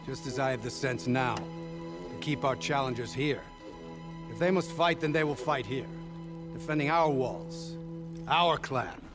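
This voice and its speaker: An actor playing a proud, stubborn clan commander in a dramatic video game, declaiming in a haughty, deliberate way. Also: male voice, mid-range voice, over music, close to an everyday voice. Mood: defiant.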